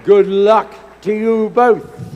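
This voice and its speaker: A man's voice: sits high, steady tone, slow, a little mellow, highs cut off, slight background noise. A man presenting an exhibition match on stage, with a playful, mock-grand formal delivery. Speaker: event presenter. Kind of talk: theatrical stage announcing. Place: large event hall. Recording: echoey hall with faint ambience.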